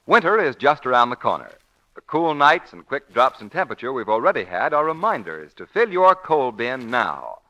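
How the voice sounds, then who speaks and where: A man's voice: mid-range voice, sing-song, normal speed, pushed and bright, rough recording. A man announcing a 1940s radio show and its advertising, polished, emphatic and declamatory. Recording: old 1940s radio broadcast.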